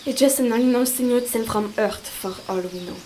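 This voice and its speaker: A woman's voice: on the high side, moderate pace, dark tone, a little hiss or hum, natural voice. A woman playing a weary, defensive astronaut scientist in an audio drama, with a clipped, strained manner. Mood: dismissive. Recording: faint background ambience.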